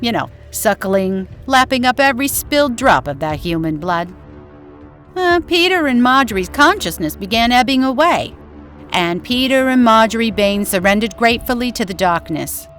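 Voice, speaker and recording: A woman's voice: fairly high pitch, expressive, moderate pace, a bit bright, soft background music. A woman giving dramatic scripted horror narration in an old-time radio style, theatrically savoring the descriptive words.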